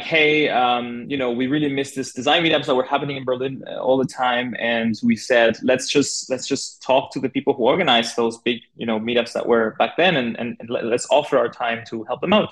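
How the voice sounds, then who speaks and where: A man from Argentina speaking as a podcast guest in a casual, conversational way, informal and halting, with plenty of filler words. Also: mid-range voice, a bit bright. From Argentina (Buenos Aires). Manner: informal, halting, peppered with 'like' and 'you know'. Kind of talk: casual conversational interview, telling own career story.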